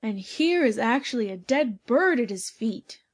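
A woman's voice: on the high side, expressive, unhurried, slightly rough. A woman voicing a pompous, self-important male town mayor. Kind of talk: storybook reading.

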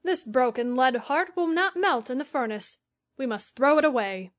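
A woman's voice: high voice, animated, moderate pace, no echo, studio quality. A woman voicing a male foundry overseer who sounds puzzled and matter-of-fact.

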